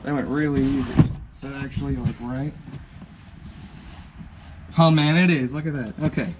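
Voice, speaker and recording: A man's voice: mid-range voice, moderate pace, dull audio, background noise. Man muttering while working on a Jeep. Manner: muttering to himself, mildly frustrated. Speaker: DIY vehicle modder. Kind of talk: casual narration while working. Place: in and under a Jeep.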